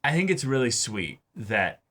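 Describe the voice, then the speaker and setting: A man's voice: mid-range voice, very animated, normal speed, a crowd in the background. A man co-hosting a casual anime discussion, rambling and often repeating his phrases. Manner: rambling, repeats phrases, acts out quoted dialogue. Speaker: co-host of anime reaction show.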